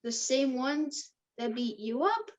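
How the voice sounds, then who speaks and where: Woman playing Max, an anxious high-voiced youngster. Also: animated, slow pace. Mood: urgent, challenging. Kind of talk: table read of a screenplay. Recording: dull lo-fi sound.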